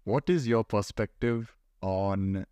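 A man's voice: on the low side, takes it slowly, warm tone, clean tone, no room sound. A young man with an Indian accent hosting a casual podcast chat, playful and teasing. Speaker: podcast host.

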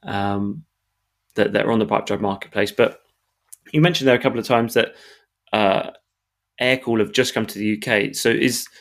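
A man's voice: on the low side, moderate pace, long pauses, a bit bright. A man hosting a business podcast, talking casually and stumbling over repeated words. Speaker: podcast host, sales director.

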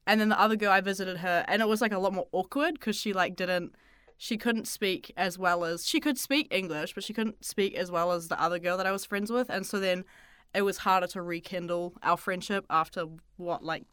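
Young woman, New Zealand accent, chatty and giggly, slightly low voice, dry close sound. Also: fairly bright tone, clear, resonant tone, dry recording. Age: young adult. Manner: chatty, giggly, lots of "like" fillers. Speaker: student podcast co-host. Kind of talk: casual conversational podcast.

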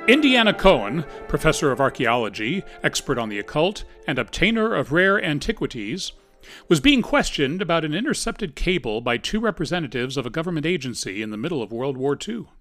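A man's voice: fairly high pitch, big pitch swings, a little fast, fairly bright tone, soft background music. A man delivering scripted comedic narration as the narrator of a humor piece.

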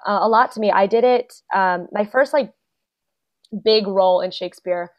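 A young adult woman, a guest actor and playwright, chatting casually in an interview, full of fillers and quick laughs. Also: medium pitch. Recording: dull lo-fi podcast audio.

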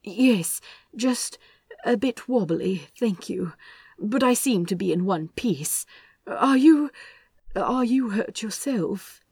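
A woman voicing a flustered, anxious gentleman who babbles breathless apologies. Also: medium pitch, animated, slow, slightly rough, no room sound, clean studio sound, voice pushed up, exaggerated voice. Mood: shaky, polite.

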